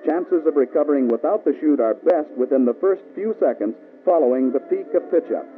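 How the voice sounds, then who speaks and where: A man's voice: fairly high pitch, animated, fairly quick, narrow, tinny audio, faint music behind. American man narrating an old band-limited training film. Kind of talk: scripted instructional narration, mid-century military film.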